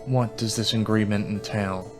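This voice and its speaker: A man playing a stern, guarded negotiator in a tabletop roleplay, asking formal, deliberate questions. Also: lowish voice, steady tone, music in the background, close to an everyday voice. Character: stern, guarded negotiator, quietly angry and probing. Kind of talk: tabletop roleplay character acting.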